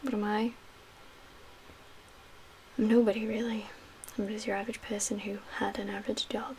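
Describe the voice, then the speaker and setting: Hushed, breathy woman acting as a female survivor leader. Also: medium pitch, level tone, natural voice, low-quality recording. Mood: weary, resolute. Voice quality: soft, breathy, half-whispered. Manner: hushed, measured, gently reassuring with pauses. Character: calm, guarded female zombie-apocalypse survivor leader. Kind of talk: soft-spoken ASMR roleplay. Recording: audible background noise.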